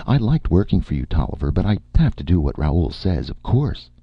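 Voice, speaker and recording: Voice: low voice, very animated, normal speed, vocal fry, breathy edge, no room sound, lo-fi sound, voice pushed up, exaggerated voice. A man voicing a naive, stubborn, devoted female film star with a simple, earnest way of talking. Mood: naive, apologetic.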